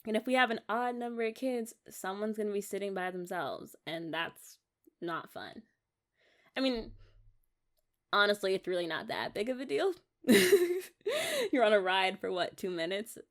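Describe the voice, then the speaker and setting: Woman acting out affectionate young wife, American accent, medium pitch, very animated intonation, rambling, coaxing, intimate ASMR roleplay. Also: unhurried. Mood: playful, musing. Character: eager affectionate young wife pleading for a baby. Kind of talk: ASMR romantic roleplay, improvised-sounding intimate chat.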